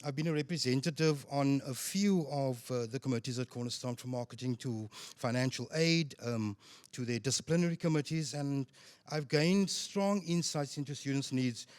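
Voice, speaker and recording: A man with a loud voice giving a formal panel presentation on stage into a handheld mic, measured and dotted with ums. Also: mid-range voice. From South Africa. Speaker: student representative speaker. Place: event stage.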